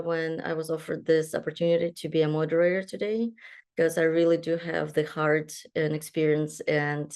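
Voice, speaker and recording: A woman's voice: sits low, a little mellow. A young adult woman from Kazakhstan, a doctoral student, moderating an online panel and introducing speakers, with many fillers and restarts. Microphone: video call.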